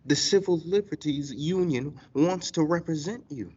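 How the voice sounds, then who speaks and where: A man playing an excitable, opportunistic radio show promoter in a comic play, with a husky voice. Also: a little high, normal speed, slight background noise, lightly acted. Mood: gleeful. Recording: slightly dull lo-fi recording.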